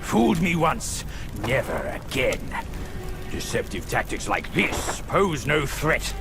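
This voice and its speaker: A gruff old pig warrior for a fantasy game. The voice is gravelly and swings widely in pitch, with slow, theatrical, suspicious delivery over music. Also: male voice, very animated. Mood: wary, measured. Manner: theatrical, old-fashioned phrasing. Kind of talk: video game cutscene acting. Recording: music behind the voice.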